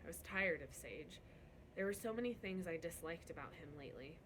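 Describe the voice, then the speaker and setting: A woman reading a novel aloud as a first-person narrator, in an expressive storytelling style. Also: fairly low pitch, a little fast, noisy background. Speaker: book reader.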